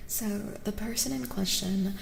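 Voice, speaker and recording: A woman speaking softly and often whispering as she gives a casual, musing ASMR tarot reading. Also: mid-range voice, moderate pace, creaky, a little hiss or hum. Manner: hushed, often slipping into whispers. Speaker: streamer giving tarot readings. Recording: roomy reverberant sound. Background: soft music.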